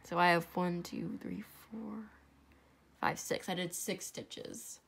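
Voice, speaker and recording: A woman's voice: lowish voice, fairly even intonation, slow pace, creaky, fairly bright tone, breathy edge. A young woman with a stuffy, congested voice, casually talking through a crafting tutorial and often dropping to a whisper. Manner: chatty, self-deprecating, often drops to a whisper. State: congested, sore throat. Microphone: camera over a tabletop, hands only.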